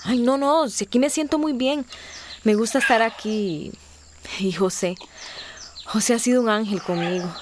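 Actress as sighing, high-voiced migrant woman. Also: moderate pace, pauses often, slight background noise, natural voice. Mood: wistful, uneasy. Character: cheerful, homesick young migrant woman working at a hostel. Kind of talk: radio drama acting.

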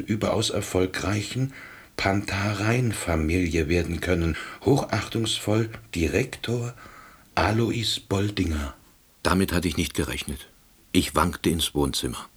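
A middle-aged, pedantic first-person narrator in a scripted radio drama. His voice is deep and husky, delivered with dry, deadpan officiousness. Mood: wry. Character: wry, pedantic, laid-off East Berlin man narrating.

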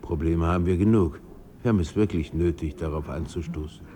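Actor as low-voiced, uneasy literary man. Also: mellow, a little hiss or hum, lightly acted. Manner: polite, measured, argumentative. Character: restless, uneasy literary man, politely contrary. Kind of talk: literary radio drama dialogue.

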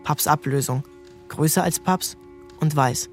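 A man's voice: a little high, measured pace, faint music behind. A man narrating a literary story in the first person, as a scripted reading for a radio drama.

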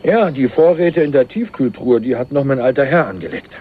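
An actor playing a guarded, casual young heir in a radio crime drama, answering in clipped, offhand phrases. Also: male voice, mid-range voice, lively intonation, brisk, phone-quality sound, a little hiss or hum, close to an everyday voice. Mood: casual, evasive. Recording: band-limited radio play recording.

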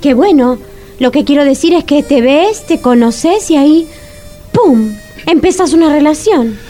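High-pitched Argentine schoolteacher. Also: female voice, unhurried, faint music behind. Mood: teasing probing. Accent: Argentine, Rioplatense. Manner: expressive, questioning. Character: eager schoolteacher woman, orderly, teasing, inquisitive. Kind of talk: radio comedy drama acting.

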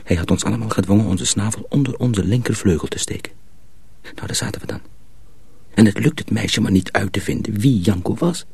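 An actor playing a cautious, secretive young miller's apprentice in a radio drama, husky and often hushed, explaining things deliberately. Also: male voice, fairly low pitch, no echo, heavily acted. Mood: sombre storytelling. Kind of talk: Dutch radio drama acting.

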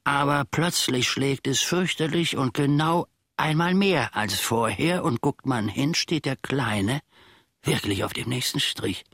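An actor playing an aggrieved, self-important old manservant in a German radio play, grumbling and ruminating pompously in a gravelly, husky voice. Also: male voice, mid-range voice, a little slow, a bit bright, dry recording, clean studio sound. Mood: musing.

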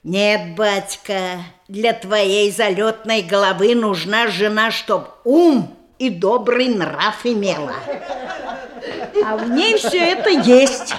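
An actress playing a sly, merry old provincial aunt in a verse radio comedy, with a folksy, teasing, affectionate way of talking. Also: female voice, medium pitch, expressive, slow, slight background noise. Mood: tender blessing. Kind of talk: theatrical verse comedy radio play acting.

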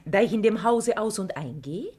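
An actress playing a shrewd, flattering matchmaker in a German radio comedy, coaxing and theatrical with a husky voice. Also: female voice, medium pitch, moderate pace. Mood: coaxing, assured. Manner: coaxing, theatrical, smooth-tongued. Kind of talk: German radio play of a classic stage comedy.

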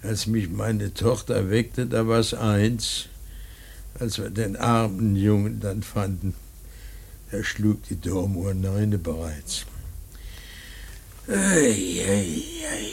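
Old father, gravelly, weary, halting, gently stern, radio play. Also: lowish voice, slight background noise. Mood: sorrowful, weary. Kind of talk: German radio play acting.

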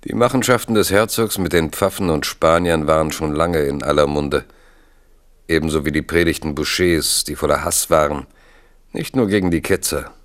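A man's voice: rumbling low, moderate pace. A man narrating a scripted historical radio drama.

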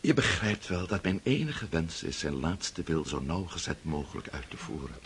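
A smooth, scheming older prince in an old radio play. His voice is low and gravelly, moving widely in pitch as he speaks with measured formality. The recording is noisy. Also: expressive. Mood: controlled urgency. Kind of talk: Dutch radio drama acting.

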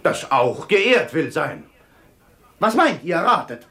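Higher-voiced, bantering proud dervish. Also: male voice, big pitch swings, slow pace, sharp tone, full character voice. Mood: bantering, boastful. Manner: bantering, declamatory, rhetorical questions. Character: proud, jocular dervish turned royal treasurer, male, blunt. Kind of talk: 1950s German radio play acting, classical verse drama. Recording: 1950s radio drama recording.

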